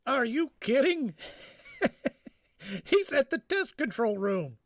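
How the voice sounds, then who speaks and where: A man's voice: very high voice, sing-song, slow, dry recording, studio quality, exaggerated voice. A man playing a flustered, reluctant government undersecretary in a radio drama. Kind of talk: live radio drama acting.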